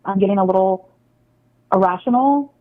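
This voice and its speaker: A woman leaving a halting, pleading apology on voicemail, sounding anxious and close to tears. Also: mid-range voice. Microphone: phone. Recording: tinny, narrow-band phone voicemail.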